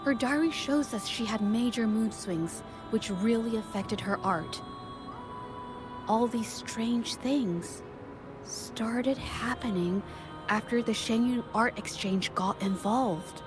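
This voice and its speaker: A calm young investigator who works through clues out loud. Her voice is slightly high, steady and measured. This is game voice acting, with music clearly behind it. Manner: reasoning aloud, measured. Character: thoughtful young woman investigator, calm and observant.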